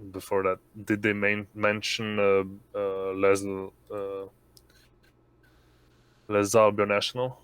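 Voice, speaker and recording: A man playing a tabletop roleplaying game, asking questions in a halting, hesitant way. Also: low-pitched, fairly bright tone, smooth, no echo. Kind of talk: casual game chat.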